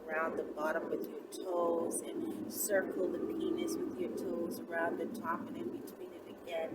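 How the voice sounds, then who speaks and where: Casting: female vlog host, conversational and playful instructor; mic set back, heavy background noise. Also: medium pitch. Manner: conversational, playful, checks in with tag questions. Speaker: channel host. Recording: very noisy, distant mic.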